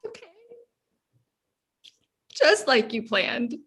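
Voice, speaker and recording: A woman playing a weary, wry pregnant young sister in a sitcom table read, with a husky voice and a dry, exasperated manner. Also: on the high side, big pitch swings, very slow, fairly bright tone, heavily acted. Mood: shaky self-reassurance.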